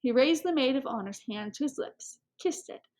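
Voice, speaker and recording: Expressive woman narrator, fairly high voice. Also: moderate pace. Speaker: audiobook reader. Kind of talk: scripted literary narration.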